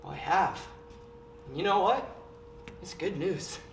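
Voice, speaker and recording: A woman playing an arrogant, petulant teenage boy on stage, sneering and flaring into shouts. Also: fairly low pitch, a little slow. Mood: haughty. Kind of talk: stage play acting. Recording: roomy stage recording from a distance, faint hiss.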